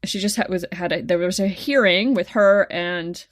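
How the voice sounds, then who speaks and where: A woman's voice: lowish voice, normal speed. Chatty woman explaining things. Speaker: podcast co-host. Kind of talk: casual chat.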